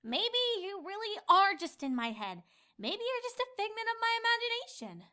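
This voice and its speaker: A woman voicing young female cartoon ponies in a theatrical, expressive fan-fiction reading, by turns exasperated and fussy. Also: extremely high pitch, normal speed, full character voice.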